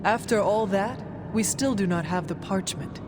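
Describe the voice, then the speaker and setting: A woman's voice: mid-range voice, normal speed, close to an everyday voice. A woman playing a stern, guarded warrior hero in a video game, speaking formally and without contractions. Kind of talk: restrained video game acting. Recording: voice under clear background music.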